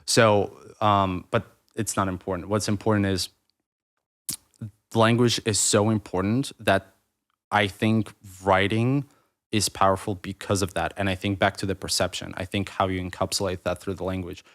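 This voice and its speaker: A man's voice: low-pitched, flat delivery, moderate pace. A man in his thirties, originally from Ukraine with Russian as his first language, talking reflectively as a podcast guest, rambling with restarts and fillers. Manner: rambling, restarts mid-thought, many fillers. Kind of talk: reflective conversational interview.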